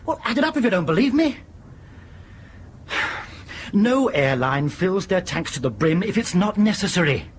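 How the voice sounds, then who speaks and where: An actor with a British accent playing an indignant, stubborn airline captain in a radio drama, blunt and exasperated in manner. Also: male voice, high voice, big pitch swings, normal speed, long pauses, slight background noise.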